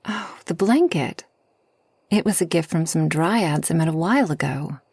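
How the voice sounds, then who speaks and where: A woman playing a kind, long-lived forest witch in a soft ASMR roleplay, gentle and unhurried, often near a whisper. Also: low voice, animated, gravelly, husky, no echo, exaggerated voice. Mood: soft, serene. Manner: gentle, unhurried, soothing, slightly formal speech.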